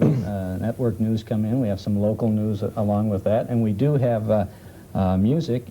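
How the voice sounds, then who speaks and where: Male radio host guest, mid-pitched and very dark in tone, conversational, slight vintage tape noise. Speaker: old-time radio show host, talk show guest. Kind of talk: conversational panel talk. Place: television studio. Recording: muffled, faintly noisy 1970s television recording.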